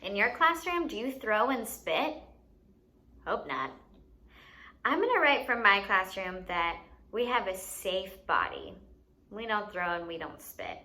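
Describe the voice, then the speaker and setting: Bright, very lively woman teaching young children. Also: mid-range voice, measured pace. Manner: simple explanations with frequent questions to the listener. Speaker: children's teacher and show host. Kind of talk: children's lesson addressed to young viewers.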